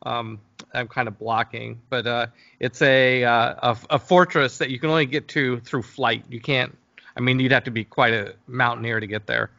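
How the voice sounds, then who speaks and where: A man's voice: mid-range voice, steady tone, moderate pace, gravelly, sharp tone, dry recording, lo-fi sound. A middle-aged man chatting casually as an interview guest, thinking aloud in a hesitant, rambling way. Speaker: interview guest, game company founder.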